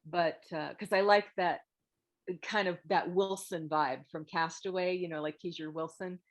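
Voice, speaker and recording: A woman hosting an online group critique, giving frank, thoughtful script feedback in a halting, casual way over a video call. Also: lowish voice, fairly even intonation. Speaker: host of a table read meet-up giving script feedback. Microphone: video call microphone.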